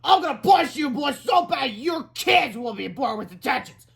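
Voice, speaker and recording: A furious, bossy school principal from a children's storybook, acted by a man reading aloud. He scolds and threatens indignantly in a loud shout, with the pitch driven up high. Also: very animated, normal speed, vocal fry, full character voice.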